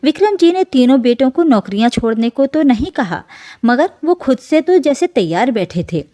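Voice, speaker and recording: Mellow, lively higher-voiced woman storyteller. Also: normal speed, no echo. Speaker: storyteller, narrator. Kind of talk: scripted dramatic narration of an emotional family story.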